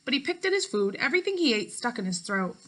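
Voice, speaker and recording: A woman teacher reading a story aloud to children, clearly and expressively, speaking directly to her pupils. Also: fairly high pitch, very animated, fast. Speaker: teacher reading a book aloud to fifth graders.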